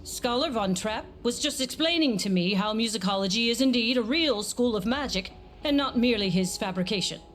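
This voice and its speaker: Woman as formal, fairly high-voiced scholar. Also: expressive, fairly quick, a little hiss or hum, close to an everyday voice. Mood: reserved. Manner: formal, precise. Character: reserved, skeptical scholarly leader. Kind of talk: scripted video game cutscene acting.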